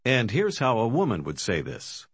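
A man's voice: medium pitch, wildly swooping pitch, no room sound. A man giving scripted instructions as the narrator of a language course. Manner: clear, measured prompting. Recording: dry studio.

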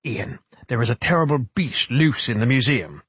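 A man's voice: medium pitch, very animated, normal speed, a bit bright, husky, no room sound, voice pushed up. A man voicing a desperate, commanding older male scientist in an audiobook, emphatic and imploring. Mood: pleading. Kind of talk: audiobook character acting.

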